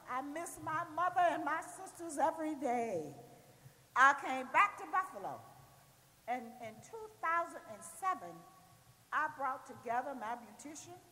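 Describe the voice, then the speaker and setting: High bright emphatic older woman in hall. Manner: emphatic, rising with fervor. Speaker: audience member at church panel. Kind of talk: impassioned testimony. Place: large church hall. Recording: roomy hall from far off.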